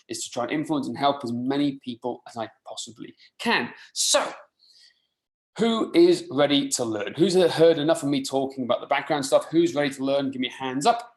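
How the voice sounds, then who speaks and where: Coach, roomy echoing sound. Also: male voice, medium pitch, moderate pace. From rural mid Wales. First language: Welsh. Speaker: fitness coach presenting a webinar. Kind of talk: informal live online presentation. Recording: roomy, echoing live webinar audio.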